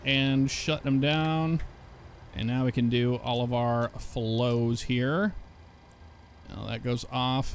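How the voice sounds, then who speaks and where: A man's voice: medium pitch, vocal fry. A man hosting a flight sim channel, chatting casually through his flight and reading back radio calls to the controller. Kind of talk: casual gameplay commentary.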